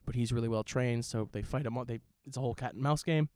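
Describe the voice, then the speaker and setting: A man's voice: mid-range voice, fast, a little mellow, no echo, studio quality. A young adult man in casual, bantering conversation as a podcast co-host. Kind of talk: casual banter and film chat.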